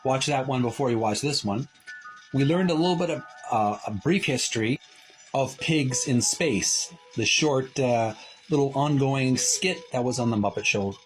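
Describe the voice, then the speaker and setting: A man hosting a retro gaming channel, casually narrating a show-and-tell and often pausing mid-phrase. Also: medium pitch, soft background music, poor audio.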